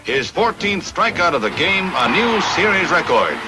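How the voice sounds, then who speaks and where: A man's voice: high voice, sing-song, moderate pace. An American man narrating a 1950s sports newsreel from a script in a punchy, dramatic, folksy showman's manner. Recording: vintage newsreel soundtrack with music. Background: loud background music.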